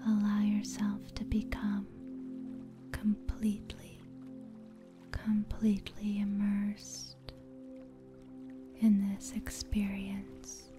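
A woman whispers softly and very slowly in a deeply mellow, breathy voice. Her delivery stays extremely even and soothing, in the style of an ASMR sleep meditation host. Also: soft background music. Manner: slow, soothing, deliberate.

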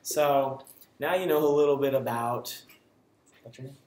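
A man's voice: medium pitch, steady tone, moderate pace, a little mellow. A young man hosting a student interview show in a classroom, joking and teasing his guest. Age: young adult. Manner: teasing, playful, laughs often. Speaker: student host. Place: small classroom, indoors. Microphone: camera on table in front. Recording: echoey classroom with background noise.

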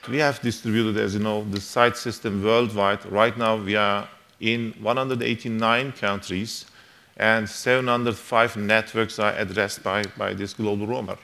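Middle-aged male executive, low, bright forceful voice, addressing a conference. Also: fairly even intonation, unhurried, creaky. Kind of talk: conference speech. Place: conference stage at a lectern.

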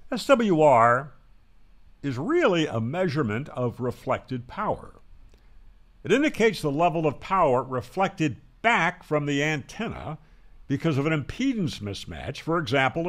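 Older male tutorial presenter, slightly high voice, very lively intonation, plain-spoken and emphatic, close-miked at home. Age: 60s or older. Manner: plain-spoken, emphatic, with pauses to stress key words. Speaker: presenter of a ham radio channel. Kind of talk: explanatory tutorial to camera, conversational. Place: small home room with guitars, indoors. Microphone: large broadcast microphone close up on a boom.

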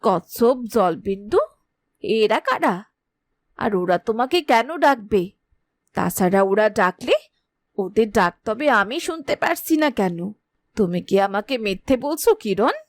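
A woman's voice: mid-range voice, lively intonation, a little slow, no room sound. A woman voicing a strict, worried mother in a fairy-tale cartoon, firm and questioning. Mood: doubtful. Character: strict, worried, protective mother of a young girl. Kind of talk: cartoon voice acting.

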